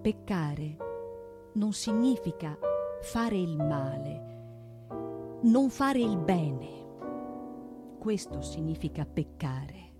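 A woman performing a theatrical monologue in a declamatory, deliberate way, with emphatic delivery and dramatic pauses. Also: sits low, lively intonation. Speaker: stage narrator and performer. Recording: piano music accompaniment. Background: live piano.

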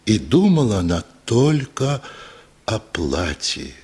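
A male narrator reads a literary tale for radio drama in a lowish, husky voice. His delivery is unhurried and very expressive, moving widely in pitch and leaving dramatic pauses.

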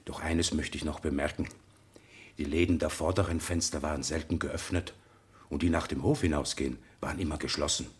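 Very deep-voiced, deliberate tobacconist. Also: male voice, steady tone. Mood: deliberate, recollecting. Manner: deliberate, formal, pondering. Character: deliberate French tobacconist giving witness testimony. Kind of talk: German radio play acting.

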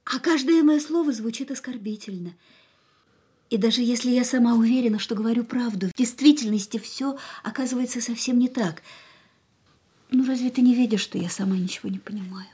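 Actress playing resolute anguished woman, high voice, pleading, earnest, dramatic radio play delivery. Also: a little fast, warm tone, close to an everyday voice. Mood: pained. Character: anguished, resolute woman leaving the man she loves. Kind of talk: Russian radio play acting.

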